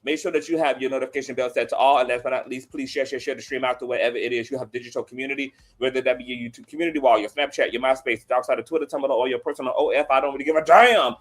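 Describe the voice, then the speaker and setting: A man's voice: mid-range voice, steady tone, rapid-fire, hardly any pauses. A man hosting a celebrity gossip live stream in a campy, sassy manner, sounding nasal and sniffly from allergies, speaking. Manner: campy, sassy, calls viewers girl and child. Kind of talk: casual live stream chat.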